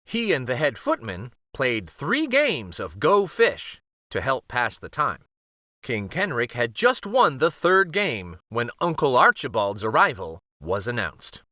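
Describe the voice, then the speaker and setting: A man's voice: medium pitch, huge pitch swings, unhurried, pushed and bright, no echo, clean studio sound. A man hosting a children's read-aloud, narrating a picture book expressively.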